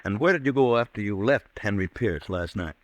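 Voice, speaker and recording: A man acting a measured district attorney's investigator in an old-time radio drama, formal and methodical. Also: lowish voice, lively intonation, dry recording, close to an everyday voice. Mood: probing. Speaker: radio actor.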